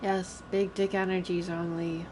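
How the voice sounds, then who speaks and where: A woman's voice: lowish voice, little pitch movement, measured pace, darkish tone, a little hiss or hum. A woman game streamer giving casual livestream commentary in an informal, chatty, rambling way.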